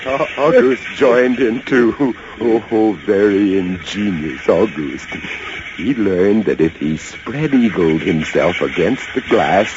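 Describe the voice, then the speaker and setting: Intense lighthouse keeper, old radio horror. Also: male voice, lowish voice, takes it slowly, background noise. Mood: uneasy recollection. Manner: intense, breathless, dramatic narration with hesitant stammers. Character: male lighthouse keeper recounting a terrifying rat siege. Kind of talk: old-time radio horror acting, first-person narration. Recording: dull old radio recording under loud music.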